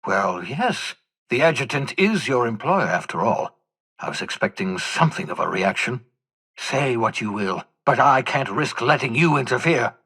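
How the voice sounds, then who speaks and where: An older man acting as an embittered, desperate renegade scientist in a video game, with a raspy voice and a theatrical, sarcastic, erudite manner. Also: mid-range voice, bright, forceful tone, full character voice. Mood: irritated.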